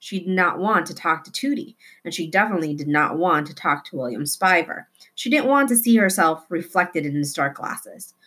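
A woman narrating a children's novel in an expressive read-aloud style. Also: on the low side, moderate pace, hardly any pauses, breathy edge.

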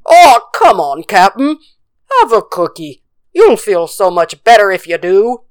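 A woman's voice: medium pitch, big pitch swings, unhurried, clear, resonant tone, no echo, clean studio sound. A woman voicing a timid male pirate crewman in a storybook tale, earnest and pleading, with old-time sailor talk. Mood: coaxing.

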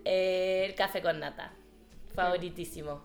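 A woman's voice: lowish voice, fairly even intonation, a little slow, crowd noise. A Chilean woman hosting a podcast in a casual, chatty style. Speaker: podcast host. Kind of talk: casual chat.

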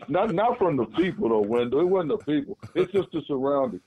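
Male co-host, mid-pitched, lively storyteller, phone-line sound. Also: a little fast, breathy edge. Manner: animated storytelling. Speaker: podcast co-host. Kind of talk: casual chat. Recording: tinny phone-like line, dry.

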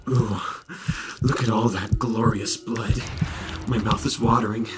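A man playing a sadistic, theatrical vampire in an audio roleplay, savoring his words with hushed menace. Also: on the low side, fairly even intonation, a little slow, very noisy, lightly acted. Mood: gloating, hungry. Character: predatory gloating male vampire, sadistic and theatrical. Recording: loud music over the voice. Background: music.

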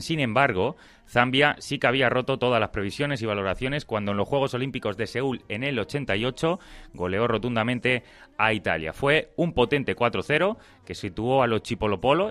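A man's voice: mid-range voice, fairly bright tone. A man co-hosting a radio sports show, giving background and asking long, explanatory questions. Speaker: radio co-host.

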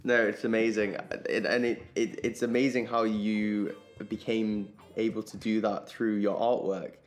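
A man's voice: fairly low pitch, little pitch movement, unhurried, faint music behind. A man hosting a podcast, reading a short intro and then asking questions with encouraging interjections. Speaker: podcast host.

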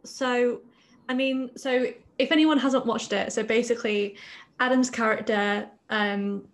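A woman's voice: on the high side, fairly even intonation, a little slow. A woman hosting an interview, asking questions in an encouraging way and often restarting her sentences. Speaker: podcast host.

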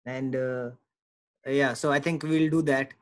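A man's voice: medium pitch, steady tone, a little slow. A man with an Indian accent hosting a casual conversational interview, chatty and informal, often chiming in. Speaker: podcast host and interviewer.

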